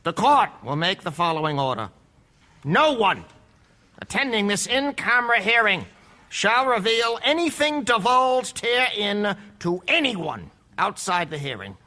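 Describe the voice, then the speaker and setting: An actor playing a stern, authoritative male judge who delivers formal rulings in a measured way. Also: sits high, very animated, sharp tone, full character voice. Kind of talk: live stage radio drama.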